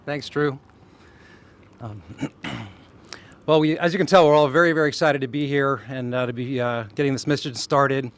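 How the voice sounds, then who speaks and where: Astronaut at a press event, eager and rambling, lots of fillers, plain mid voice. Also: male voice, medium pitch, steady tone, normal speed, long pauses. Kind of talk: press statement, loosely spoken.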